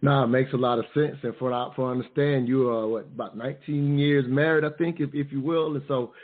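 A man's voice: medium pitch, steady tone, a little fast. A man hosting a live call-in radio talk show, courteous and polite, often saying "if you will". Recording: phone-like narrow audio.

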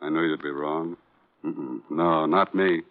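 An actor playing a sardonic Broadway producer, biting and theatrical, in an old-time radio crime drama. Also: male voice, a bass voice, fairly even intonation, a little slow. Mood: defensive, bitter. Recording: tinny, band-limited vintage radio recording.

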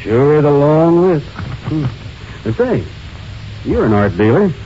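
An actor playing an easygoing small-town sheriff with an offhand, musing manner in a 1940s radio drama. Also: male voice, on the high side, very animated, warm tone. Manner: offhand, hesitant, drawn-out musing. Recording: muffled, hissy old radio recording.

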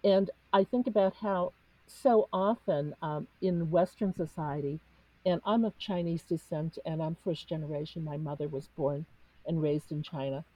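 Low husky-voiced woman, Cantonese native. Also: dark tone, rough recording. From United States. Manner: earnest, searching, pauses with fillers. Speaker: discussion panelist. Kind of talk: reflective spiritual discussion.

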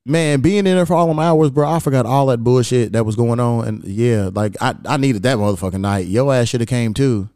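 A man's voice: mid-range voice, brisk, few pauses, mellow, smooth, no room sound, studio quality. Laid-back slangy male podcast host. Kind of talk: casual podcast conversation.

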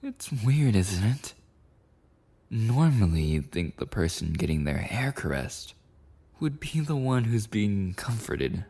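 A man's voice: lowish voice, big pitch swings, takes it slowly, warm tone, husky, full character voice. A man playing a clingy, possessive yandere boyfriend in an intimate ASMR roleplay monologue, rambling and cooing tenderly with lapses into whispers. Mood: tender, soothed.

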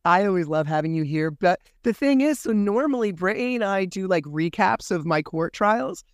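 A man hosting a podcast in casual chat, raspy and a bit under the weather, candid and animated, swearing freely. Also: high voice, moderate pace, dry recording, clean studio sound. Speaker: podcast host.

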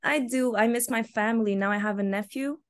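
A young woman from Macau whose first language is Portuguese, a podcast guest who pauses to search for English words. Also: a little high. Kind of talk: casual interview.

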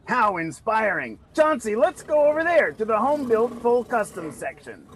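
Excitable, very high-pitched radio co-host. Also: male voice, normal speed, slight background noise, exaggerated voice, poor audio. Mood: bright, curious. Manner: eager, animated. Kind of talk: comedic live radio broadcast.

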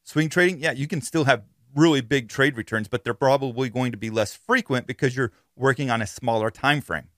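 A man's voice: mid-range voice, fairly quick, hardly any pauses, a bit bright, no echo. A man hosting a podcast, explaining things in an informal, conversational monologue on a close mic. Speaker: podcast host.

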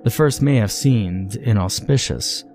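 A man with a low-pitched, dark and mellow voice narrates a creepypasta tale in the first person. His delivery is measured and deliberate, with a storyteller's sense of drama. Music plays softly behind him.

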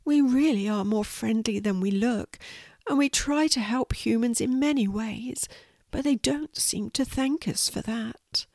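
A woman voicing a tiny, timid male spider in a children's storybook, gentle and sad, with a plaintive, tentative delivery. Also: fairly high pitch, unhurried, no echo, studio quality, voice pushed up.

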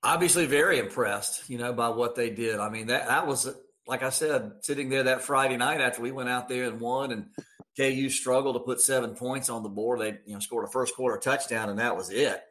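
A man's voice: medium pitch, brisk, fairly bright tone. A man who announces sports on radio, talking conversationally as an interview guest and repeating phrases for emphasis.

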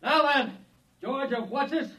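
A man's voice: extremely high pitch, expressive, measured pace, sharp tone, exaggerated voice. A man playing a blunt, commanding police chief in an old radio drama, giving stern orders. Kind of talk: 1950s radio drama acting. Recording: distant from the microphone.

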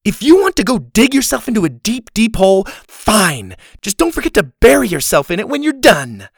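A man's voice: mid-range voice, huge pitch swings, takes it slowly, darkish tone, no echo, clean studio sound. A man voicing a bossy, indignant and theatrical schoolgirl in a haughty, scolding manner for a storybook. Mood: furious.

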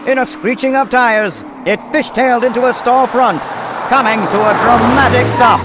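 An old-time radio narrator, high in pitch and grandly dramatic. He tells the story in scripted style over clearly audible background noise. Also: steady tone, moderate pace.

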